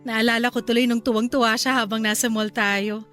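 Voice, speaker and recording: A woman's voice: a little high, steady tone, brisk, a bit bright, lightly acted. An actress playing a caring young wife and mother in a radio drama, sweet and polite to her elders. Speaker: wife character. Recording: faint music bed behind.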